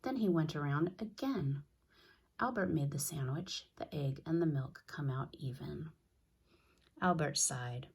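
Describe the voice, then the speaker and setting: A woman's voice: deep voice, animated, measured pace, breathy edge. A woman narrating a children's storybook aloud as a reader.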